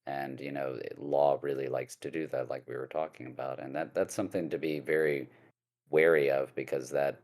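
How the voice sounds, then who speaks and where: A man speaking as a guest lawyer in a casual podcast discussion, halting, with many fillers and restarts. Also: extremely low pitch, level tone, a little mellow.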